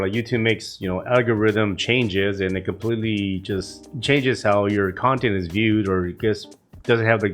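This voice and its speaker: A fairly low-voiced man who is coming down with a cold, chatting straight into his camera. He rambles casually, cussing often and padding his sentences with plenty of fillers. Faint music plays behind him. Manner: rambling, profane, many fillers. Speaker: vlog host. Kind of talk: casual morning vlog talk. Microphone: camera mic.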